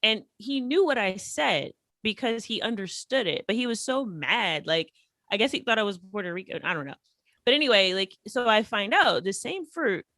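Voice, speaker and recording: A woman from the United States, a guest on a podcast, telling stories in an animated, chatty way with many fillers. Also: mid-range voice, normal speed, no room sound. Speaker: podcast guest, language teacher. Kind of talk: casual interview storytelling.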